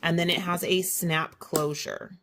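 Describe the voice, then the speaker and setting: A woman's voice: fairly low pitch, fairly even intonation, measured pace, a bit bright, slight background noise. Chatty woman with a slight cold. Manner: chatty, self-correcting, detailed. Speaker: haul vlogger. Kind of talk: casual product haul walkthrough.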